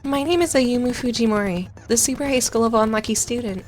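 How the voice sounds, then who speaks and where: A woman's voice: on the high side, quick pace, darkish tone, faint music behind, lightly acted. A woman playing a polite, earnest teenage girl, the protagonist of an audio drama. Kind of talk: audio drama acting and narration.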